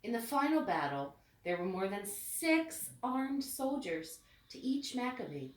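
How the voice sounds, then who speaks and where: A woman reading a storybook aloud with expressive, deliberate phrasing meant for children. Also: on the high side. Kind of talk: read-aloud storybook narration. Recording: echoey room, distant mic.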